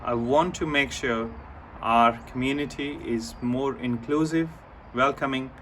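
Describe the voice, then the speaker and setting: A man from India giving a prepared self-introduction as a new board member. He speaks in a measured way and goes through his points one by one. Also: medium pitch, a bit bright, distant mic, slight background noise.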